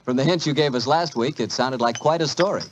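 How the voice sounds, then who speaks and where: A man's voice: medium pitch, a little fast, slight background noise. An American man announcing and hosting a 1940s radio show in a salesmanlike manner.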